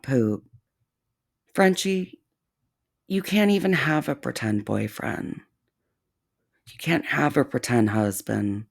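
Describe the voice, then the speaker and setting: A woman's voice: very deep voice, gravelly. A woman giving blunt, sarcastic, profane reaction commentary. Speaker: commentary YouTuber.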